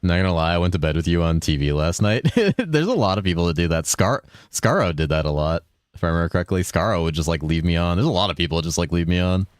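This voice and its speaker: A laid-back young man chats with his viewers while he plays, rambling and swearing easily. His voice is very low, though he keeps it a little above his natural depth. It sounds close and dry, with almost no room around it. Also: lively intonation, fast, clean tone, no echo. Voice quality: deep voice that he holds a bit higher than his natural one. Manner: laid-back, rambling, swears a lot, reads chat aloud. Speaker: game streamer. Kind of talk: casual live-stream chat while gaming.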